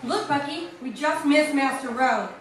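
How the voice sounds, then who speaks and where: Woman playing a plucky, high-voiced lady detective, echoing. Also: a little slow. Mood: wry, matter-of-fact. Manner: melodramatic, wry. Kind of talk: old-time radio serial parody acting. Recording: echoey, distant, loud background noise.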